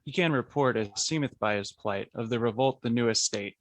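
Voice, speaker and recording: A man's voice: medium pitch, a little fast, natural voice. A man playing a gracious, commanding medieval king in a formal, declamatory Shakespearean radio play. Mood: regal.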